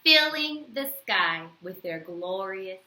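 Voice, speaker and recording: A woman reading a bedtime story aloud to young children, expressively, with teacherly questions and pauses. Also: medium pitch, lively intonation, pushed and bright, roomy sound, slight background noise.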